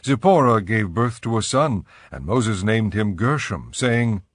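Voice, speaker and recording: A man narrating a dramatized scripture reading as a measured storyteller. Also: lowish voice, expressive, no room sound, studio quality.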